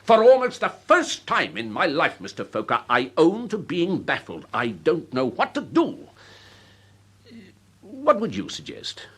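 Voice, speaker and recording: An actor playing a proud, irascible older upper-class English major in a period radio drama, clipped and pompous. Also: male voice, fairly high pitch, wildly swooping pitch, unhurried, fairly bright tone, husky, heavily acted. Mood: furious.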